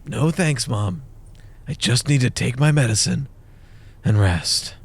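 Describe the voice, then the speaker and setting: A man's voice: medium pitch, measured pace, breathy edge. An actor playing a lonely, sickly, heartbroken young man in a comic dub, earnest and emotive. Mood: weary. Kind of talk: dramatic comic dub acting. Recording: long reverb, music behind.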